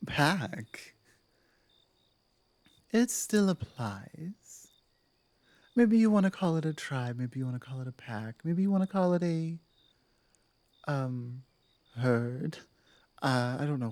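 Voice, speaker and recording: A female werewolf pack leader played by a woman in an ASMR audio roleplay, commanding yet softening. She talks slowly and earnestly, pausing to weigh her words. The sound is dry and close. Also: very deep voice, no room sound, heavily acted. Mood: thoughtful. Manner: measured, hesitant, earnest, with thoughtful pauses.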